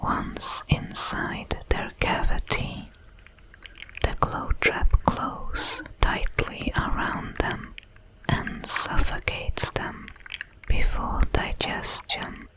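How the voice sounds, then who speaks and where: A woman voicing a calm, watchful alien predator in a breathy whisper, with formal, measured diction for an ASMR audio roleplay. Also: poor audio. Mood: calm, observant. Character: many-legged alien predator, calm, watchful, protective, coldly curious.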